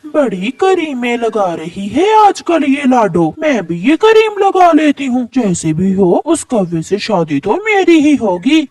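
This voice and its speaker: A woman's voice: on the high side, very animated, measured pace, hardly any pauses, darkish tone, no echo, recorded from a distance. Woman as a spiteful, envious young crow. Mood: scheming. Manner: scheming, self-assured. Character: spiteful, envious young female crow, spoiled plotting daughter.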